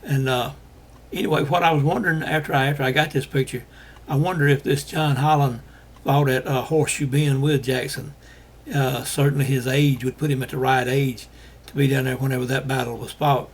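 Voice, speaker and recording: An older man from Alabama, hosting a casual monologue to viewers, talking in a halting way with many fillers while he is losing his voice. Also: medium pitch, fairly even intonation, breathy edge. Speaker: host.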